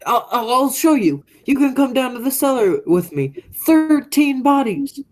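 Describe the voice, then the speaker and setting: A woman's voice: high-pitched, expressive, unhurried, a little mellow, soft background music. A young woman playing a menacing, murderous man in a radio play. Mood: paranoid, angry. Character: menacing murderous man back after twenty years away. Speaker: high school theatre actor.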